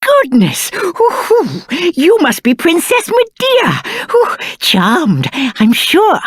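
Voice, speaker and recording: A pampered, cowardly young prince from a video game, with a British accent. The voice sits high and leaps around theatrically, yet takes its time over each pompous phrase. It is petulant and self-important, recorded very dry and close. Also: male voice, huge pitch swings, extremely slow, a bit bright, no echo, full character voice. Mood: fawning, delighted. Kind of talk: video game character acting.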